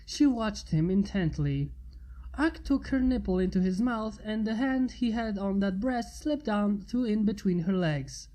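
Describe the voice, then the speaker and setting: A woman narrates a piece of fan fiction, reading it aloud as a story. Her voice is dark and mellow, at a middling pitch for a woman. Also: animated, a little slow, low-quality recording.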